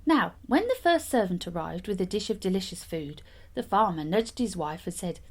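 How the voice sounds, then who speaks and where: A woman reads a fairytale in a medium-pitched voice. Her delivery is expressive, with lively swings of intonation that suit a storyteller. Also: moderate pace.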